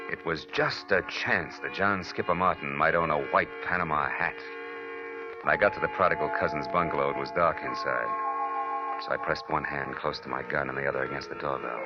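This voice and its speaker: A very low-voiced hardboiled private eye, dry and wisecracking, in old radio noir style. The sound is muffled vintage broadcast. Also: male voice. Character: wry, hardboiled male private detective narrating his case. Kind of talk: 1940s radio noir scripted narration and acting. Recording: dull lo-fi 1940s radio recording, music.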